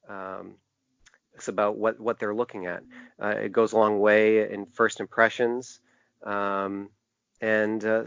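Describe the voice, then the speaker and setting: A man speaks as an interview guest, explaining his creative work in a conversational register. His delivery is halting and searching, with long answers. The recording is dry and somewhat muffled. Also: lowish voice, fairly bright tone, no room sound. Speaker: podcast guest, typographer and graphic designer. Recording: dull, lo-fi, dry podcast audio.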